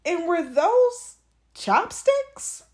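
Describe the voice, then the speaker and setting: A woman reading a children's storybook aloud with expressive, dramatic emphasis on key words. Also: extremely high pitch, very slow. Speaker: children's read-aloud host.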